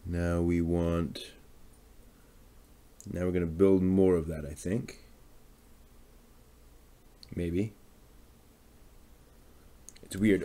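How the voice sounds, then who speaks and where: A man casually talking through a hands-on hobby build, halting and muttering to himself as he works. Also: low-pitched, dark tone. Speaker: hobby channel host building a model kit on stream.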